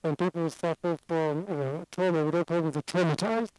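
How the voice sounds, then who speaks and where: A man's voice: a little high, fairly quick, darkish tone, clear, resonant tone, no echo, poor audio. A man from Singapore with a mixed international accent, giving a seated, conversational lecture as an addiction expert. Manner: conversational, explaining and qualifying.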